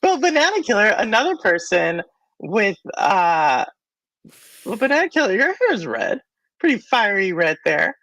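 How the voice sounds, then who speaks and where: A woman host chatting on a live stream, her pitch swinging up and down in lively jumps. She talks to the viewers, laughs often, and her voice sounds dry and close to the mic. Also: moderate pace, no echo. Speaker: podcast host. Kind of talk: casual live-stream chat.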